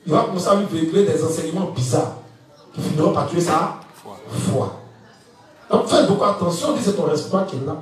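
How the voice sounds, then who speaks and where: Man in his fifties teaching a congregation, high voice, lively and laughing, very echoey room, distant mic. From Ivory Coast. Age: 50s. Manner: animated, laughing, asks the audience if they follow. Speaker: church teacher. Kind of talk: evening church teaching. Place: church hall.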